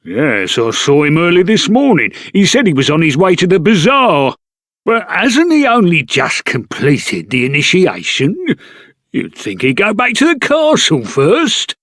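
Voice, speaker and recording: A man's voice: on the high side, huge pitch swings, measured pace, a bit bright, no echo, studio quality, heavily acted. A man playing a polite, chatty royal guard in a video game. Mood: courteous.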